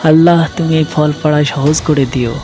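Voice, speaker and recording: A man's voice: fairly high pitch, fairly even intonation, a little fast, over music, close to an everyday voice. A man voicing a humble, devout villager in a cartoon, earnest, anxious and pleading. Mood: earnest resolve. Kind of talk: acted cartoon monologue.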